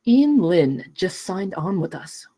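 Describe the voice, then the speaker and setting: A woman's voice: on the low side, big pitch swings, takes it slowly, mellow, breathy edge, full character voice. A woman at a script table read playing a polished, businesslike company manager in her forties. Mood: curt.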